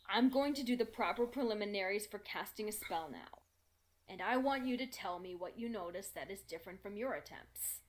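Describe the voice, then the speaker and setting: Woman acting a calm, patient unicorn mentor. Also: mid-range voice, close to an everyday voice. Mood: patient, encouraging. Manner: measured, instructive. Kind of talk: scripted fan fiction audio reading.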